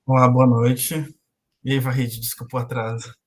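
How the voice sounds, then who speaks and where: Brief: young adult Brazilian man, guest lecturer on a video call, medium pitch, hesitant and full of fillers. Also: monotone, moderate pace. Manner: hesitant, frequent filler "é" and restarts. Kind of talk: casual online lecture.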